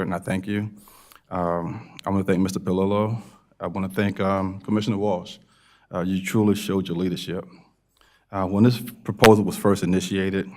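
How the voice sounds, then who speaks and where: An American man with a very low voice speaks as a commissioner at a public meeting. His delivery stays flat in pitch and halting, and he repeats phrases to drive them home. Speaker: town board commissioner. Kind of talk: public remarks at a town meeting.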